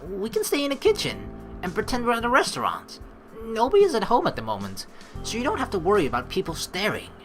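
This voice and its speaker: A woman's voice: medium pitch, huge pitch swings, fast. A woman let's player reading game text aloud expressively, mixed with casual commentary. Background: game music.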